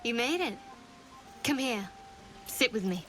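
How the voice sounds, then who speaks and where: A woman voicing a guarded, wry young female cleric in a video game, soft and teasing in her delivery. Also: on the high side, lively intonation, a little slow, faint music behind, rough recording. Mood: warm, teasing. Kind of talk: video game drama acting.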